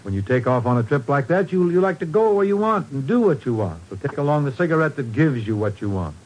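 A man's voice: medium pitch, big pitch swings, quick pace, a little mellow, poor audio. A man reading a 1950s radio advertisement in a folksy, salesmanlike way. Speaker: commercial pitchman.

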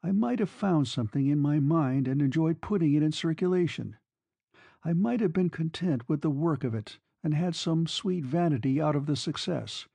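A narrator acts out a disillusioned young male soldier in a literary story. His voice is mellow and creaky, brooding and wistful, the sound of a weary, self-absorbed sentimental lover. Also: a little high, normal speed, no room sound, pitched above the performer's own voice. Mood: bitter, musing.

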